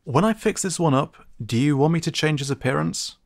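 A man's voice: a little high, brisk, creaky. An actor playing a friendly, teasing sci-fi repairman in an ASMR roleplay, with a casual, joking manner.

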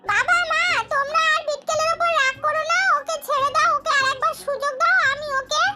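A squeaky cartoon girl, a caring and obedient big sister. The voice is extremely high, piercingly bright and childlike. Music plays under it. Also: fairly even intonation, fairly quick, exaggerated voice. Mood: pleading, caring. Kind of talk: amateur cartoon dubbing.

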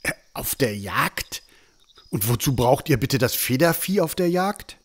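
A man's voice: mid-range voice, animated, measured pace, husky. A man playing a strict, angry and worried village father, scolding in a fantasy audio drama. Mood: angry, reproachful. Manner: scolding, indignant.

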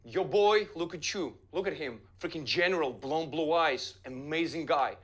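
Man, heated emphatic lecturing, mid pitch. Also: normal speed, a bit bright, husky. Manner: heated, emphatic, non-native phrasing. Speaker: investigative journalist.

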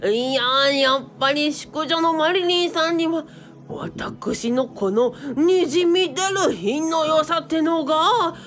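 A woman voicing a vain, excitable male cult leader, stammering and obsequiously polite, in a comic radio drama. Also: sits high, slow pace. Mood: flattered, smug.

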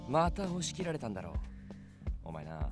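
A man's voice: mid-range voice, wildly swooping pitch, very fast. An actor playing a weary, scolding police officer in his thirties in a Japanese visual novel. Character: exasperated male police colleague in his thirties. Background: game music.

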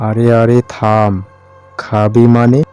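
A man's voice: fairly low pitch, monotone, slow, darkish tone, clear, resonant tone, faint music behind. A man playing a brave, confident young village tea-seller in a cartoon story, speaking in a defiant, plain-spoken way.

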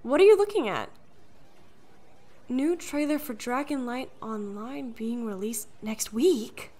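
High-pitched curious teenage friend played by a woman. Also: lively intonation, slow pace, mellow.

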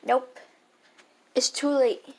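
A young performer playing a grumpy, tired father who scolds his son in a homemade skit, gruff and repeating his orders. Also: high voice, fairly even intonation, slow pace, full character voice.

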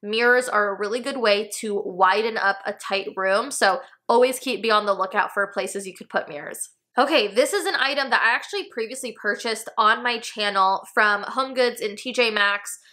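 A woman's voice: fairly high pitch, normal speed, pushed and bright. An American woman in her thirties speaking casually and emphatically to camera as an enthusiastic home decor host. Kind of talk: casual product review to camera. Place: small furnished room indoors.

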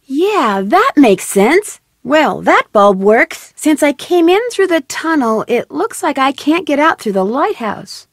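A curious, upbeat young woman detective from a mystery game. She muses aloud in a chirpy, inquisitive way, her high voice bouncing around a lot. The sound is dry and close. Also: no echo. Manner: chirpy, inquisitive self-talk. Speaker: game protagonist. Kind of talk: game voice lines.

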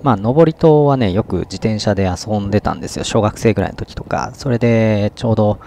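A man's voice: lowish voice, a little fast. A middle-aged man from Japan, chatting casually with lots of fillers while vlogging outdoors by a river on a camera mic. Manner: relaxed, chatty, many fillers and tag particles. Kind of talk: casual outdoor vlog narration. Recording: outdoor wind and ambient noise.

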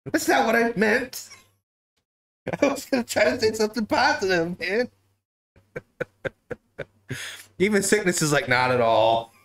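A man's voice: high voice, expressive, takes it slowly, pauses often, a bit bright. A man in his thirties hosting a casual livestream talk show from a living room couch, laughing often and bantering loosely with swearing.

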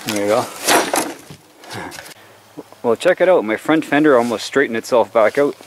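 A man's voice: mid-range voice, lively intonation, normal speed, background noise. Easygoing man rambling outdoors. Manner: rambling, easygoing, talks while doing yard chores. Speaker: vlogger. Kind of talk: casual chat. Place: backyard outdoors.

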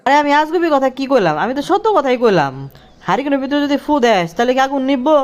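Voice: high voice, very animated, quick pace, a crowd in the background. Casting: hot-headed, cheeky young village schemer played by an actor, rural Bangladeshi accent, colloquial and argumentative, comic cartoon. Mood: indignant. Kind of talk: comic Bengali cartoon dialogue.

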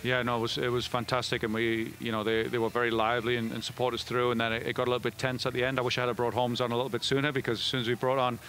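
A man's voice: medium pitch, flat delivery, fairly bright tone, slight background noise. A middle-aged man, a soccer head coach, answering post-game questions on a headset mic and often restarting his sentences. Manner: fast, with frequent restarts and fillers. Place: indoor media room before a backdrop.

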